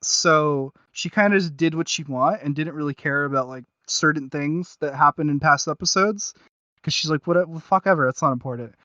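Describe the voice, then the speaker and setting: Slightly high-voiced man, halting and rambling. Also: bright, forceful tone, no room sound, dull audio. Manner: halting, self-deprecating, rambling. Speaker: podcast host. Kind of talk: casual review chat.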